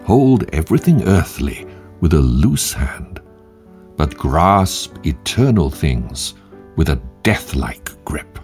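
Slow, deep-voiced male devotional reader. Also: big pitch swings, a little mellow, soft background music. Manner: measured, emphatic reading with long pauses. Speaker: podcast host and devotional reader. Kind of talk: devotional reading of short quotes.

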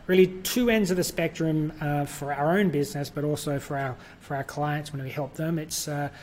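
A man's voice: on the high side, steady tone, normal speed, a little mellow. A man with an Australian accent, a guest in an interview, explaining his business at length with frequent fillers and light laughs. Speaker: guest, virtual events business owner. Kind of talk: conversational interview.

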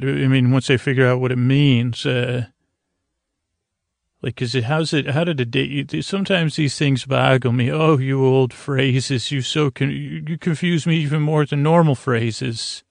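A man hosts a bedtime podcast in a creaky, gravelly voice that barely rises or falls. He meanders through soothing, whimsical asides, hesitating and breaking off mid-thought. The sound is close and dry, with no room around it. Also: medium pitch, fairly even intonation, a little slow, dry recording. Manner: meandering, hesitant, self-interrupting. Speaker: podcast host. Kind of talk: rambling bedtime podcast monologue.

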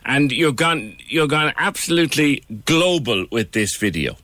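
A man's voice: mid-range voice, big pitch swings, measured pace, pushed and bright, no room sound. A man hosting a casual radio talk show interview, chatty, laughing easily and repeating words as he goes. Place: radio studio. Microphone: studio microphone.

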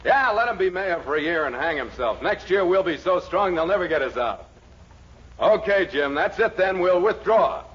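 An actor playing a blustery, exasperated middle-aged American political boss in a 1940s radio comedy, excitable and patronizing. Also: male voice, high voice, normal speed. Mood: resigned. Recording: muffled, slightly noisy 1940s radio recording.

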